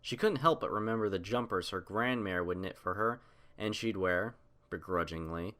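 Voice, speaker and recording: A man's voice: low-pitched, moderate pace, fairly bright tone. A man reading scripted fiction aloud as the narrator of a story.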